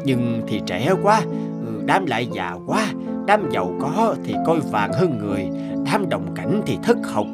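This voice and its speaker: An unassuming village father in an animated folk tale. He is middle-aged and plain-spoken, with a mid-range voice and lively inflection. Music plays clearly underneath. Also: mid-range voice, a little slow. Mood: modest, chatty.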